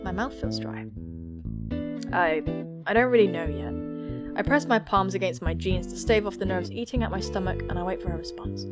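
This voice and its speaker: A woman's voice: medium pitch, animated, moderate pace, loud music behind the voice, pitched below the performer's own voice, close to an everyday voice. A woman voicing an earnest, nervous student girl who narrates her thoughts in a visual novel game.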